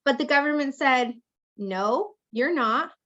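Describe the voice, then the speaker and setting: A woman's voice: sits high, lively intonation, slow, a bit bright, highs cut off. A woman law professor giving a conversational classroom lecture, acting out example speakers as she explains. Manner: conversational, acts out hypothetical speakers.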